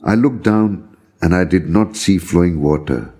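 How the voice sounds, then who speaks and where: A man reading a scripted, first-person story of a visionary journey in a measured, solemn manner. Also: low voice, warm tone, slightly rough. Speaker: storyteller reading own account.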